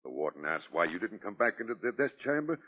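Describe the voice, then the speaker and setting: Matter-of-fact American executioner, deep and lively, thin narrow old-radio sound. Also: male voice, quick pace. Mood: businesslike. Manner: casual, folksy. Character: folksy, matter-of-fact prison executioner, male. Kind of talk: 1940s radio drama acting.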